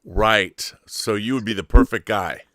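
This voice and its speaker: A man's voice: lowish voice, a little slow, a bit bright, dry recording. A man hosting a casual interview as the interviewer. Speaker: interviewer, podcast host.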